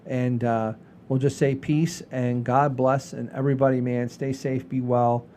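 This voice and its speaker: Male co-host, soft mellow tone, loose rambling chat, repeats himself, faint rumble in the background. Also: little pitch movement, measured pace. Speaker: comedy show co-host. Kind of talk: casual chat, addressing the audience. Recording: low rumbling background noise.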